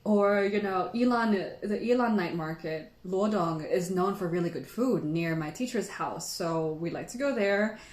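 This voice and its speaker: Echoey, animated woman chatting casually. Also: mid-range voice, a little slow, few pauses. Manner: animated, rambling, giggly, frequent "like". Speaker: livestream host. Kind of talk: casual storytelling chat to livestream viewers. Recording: echoey room.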